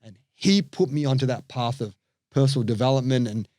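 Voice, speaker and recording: A man with an Australian accent tells his stories with energy as a podcast guest, slipping into the voices of the people he quotes. His pitch sits in the middle range. The sound is dry and close to the mic. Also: fairly quick, dry recording. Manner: animated storyteller, acts out quoted voices. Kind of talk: storytelling interview chat.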